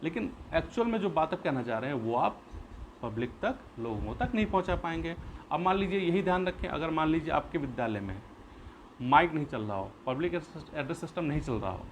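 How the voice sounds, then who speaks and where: Male teacher, fairly high pitch; explains step by step with rhetorical questions, light noise behind the voice. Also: lively intonation, brisk, low-quality recording. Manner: repeats and rephrases points, poses questions then answers them. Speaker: school teacher. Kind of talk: explanatory classroom-style lesson. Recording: clear background noise.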